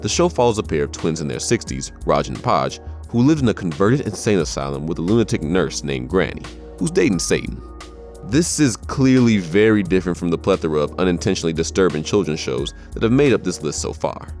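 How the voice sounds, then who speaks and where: A man's voice: deep voice, big pitch swings, fairly quick, darkish tone, soft background music. A man reading scripted narration for a countdown video.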